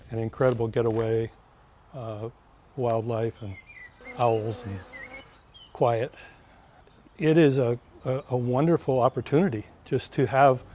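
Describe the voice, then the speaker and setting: A poet speaking in a thoughtful, halting way, searching for his words during an outdoor interview in a park. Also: mid-range voice, a little mellow, slight background noise. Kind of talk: reflective interview talk.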